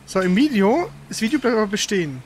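A man's voice: high-pitched, sing-song, quick pace, darkish tone. A man streaming a video game, thinking aloud in casual, informal live commentary. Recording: faint background noise.

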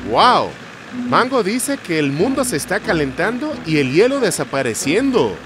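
An actor voicing an excitable, helpful young dog sidekick in a children's cartoon. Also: medium pitch, moderate pace, very noisy, natural voice. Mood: curious. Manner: exclamatory, enthusiastic. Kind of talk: animated children's cartoon dubbing. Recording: loud music behind the voice.

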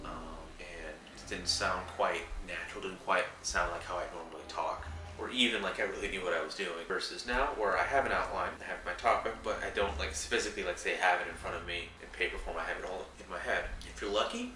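A young man vlogging casually to camera, a little raspy from a cold, rambling with many fillers in a small room at night. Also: low voice, fairly quick, bright, forceful tone. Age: young adult. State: recovering from a cold, slightly raspy. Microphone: camera a few feet away. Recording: roomy, distant mic, faint buzzing hum.

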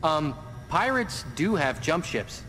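An actor playing an eager, cocky young tech lieutenant in a video game cutscene, with an excitable manner. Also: male voice, on the high side, slow, exaggerated voice, rough recording. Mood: eager, boastful. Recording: loud music behind.

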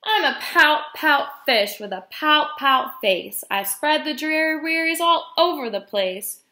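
Woman voicing a glum, slow-talking storybook pout fish. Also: high-pitched, expressive, sharp tone, reverberant, voice pushed up, exaggerated voice. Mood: gloomy. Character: glum, gloomy male pout fish, resigned.

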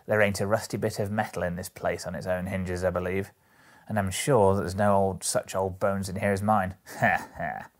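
A man's voice: deep voice, flat delivery, normal speed, lightly acted. A man voicing a miserly old rag-shop dealer in a Victorian storybook, sly, jovial and folksy, with a wheedling, emphatic way of talking. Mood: jovial, welcoming.

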